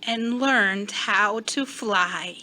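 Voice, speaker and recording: A woman teacher reading a storybook aloud to young children with deliberate, expressive phrasing. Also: medium pitch.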